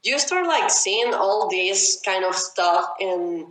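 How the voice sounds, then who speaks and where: Young woman, native Spanish speaker, loud rapid excitable delivery, roomy echo. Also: mid-range voice. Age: young adult. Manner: loud, fast and excitable, often shouting. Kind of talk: casual conversation. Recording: echoey room.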